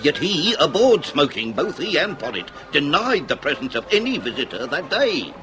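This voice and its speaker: An actor playing a shrewd, authoritative Victorian police inspector with a British accent and a formal, probing manner in a period radio drama. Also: male voice, on the high side, sing-song, moderate pace, music in the background, poor audio. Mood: brooding, deductive.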